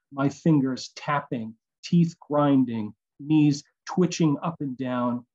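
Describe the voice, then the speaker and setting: Male poet, thirties, unhurried recital, slightly raspy voice, dull call audio. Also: medium pitch, warm tone. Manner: measured, deliberate phrasing. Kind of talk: poetry reading. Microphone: video call.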